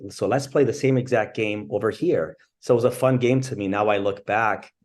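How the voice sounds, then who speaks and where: A man in his forties from France, a guest telling his life story in a casual interview, pausing often with fillers. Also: fairly low pitch, a little mellow. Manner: thoughtful, many pauses and fillers. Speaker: interview guest, bench press champion.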